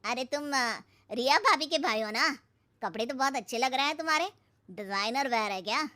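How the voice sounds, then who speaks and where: Cartoon rich brother-in-law, played by a woman: very high, sharp voice, condescending, mocking. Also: expressive, moderate pace. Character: arrogant, belittling rich young brother-in-law, a man. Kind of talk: Hindi cartoon moral-story dialogue.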